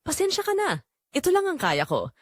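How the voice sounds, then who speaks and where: A woman's voice: high voice, wildly swooping pitch, moderate pace, no echo, voice pushed up. A woman playing a reserved, polite and hesitant hardworking man in an audio drama.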